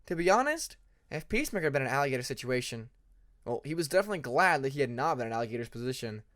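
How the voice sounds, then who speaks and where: A man's voice: mid-range voice, very animated, racing, a bit bright. A man narrating fantasy fiction as an audiobook reader.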